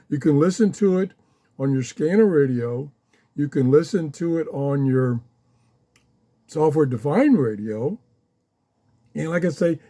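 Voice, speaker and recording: Unhurried, mellow man explaining casually. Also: on the high side. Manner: halting, in short phrases with pauses. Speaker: radio hobbyist host. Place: small home office.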